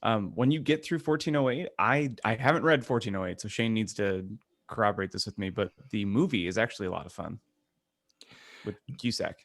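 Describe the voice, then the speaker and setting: A man talks casually as a podcast co-host. His voice sits fairly low, and the sound is dry, with very little room on it. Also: fairly quick, a bit bright, no echo. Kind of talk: casual book-club podcast chat.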